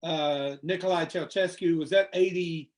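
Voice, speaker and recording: A man's voice: on the high side, steady tone, moderate pace. A man hosting an interview, talking casually and rambling with many fillers and restarts. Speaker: host.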